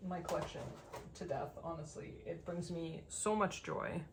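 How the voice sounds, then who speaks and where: Low-pitched, slightly gravelly woman, casual show-and-tell. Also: normal speed, background noise. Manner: rambling, thinking aloud as she sorts. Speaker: collector giving a binder tour.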